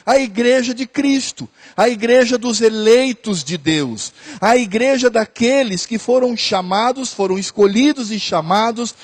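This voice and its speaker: A man preaching a sermon as pastor, repeating phrases earnestly and hesitating at times. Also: high-pitched.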